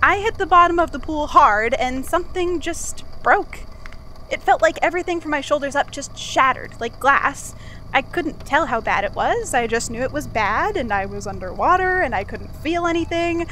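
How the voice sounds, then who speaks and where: Woman playing a high-voiced, rambling male archive assistant. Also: animated, moderate pace, sharp tone, a little hiss or hum, rough recording. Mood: nervous, candid. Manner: rambling, hesitant, conversational. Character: chatty, rambling male archival assistant confessing strange experiences. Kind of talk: audio drama monologue.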